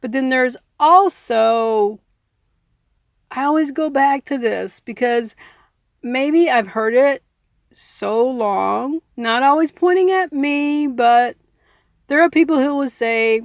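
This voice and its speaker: A woman reading a book aloud as a narrator, in a deliberate manner, with informal personal commentary of her own. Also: high-pitched, no echo, clean studio sound. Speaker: audiobook reader adding own commentary.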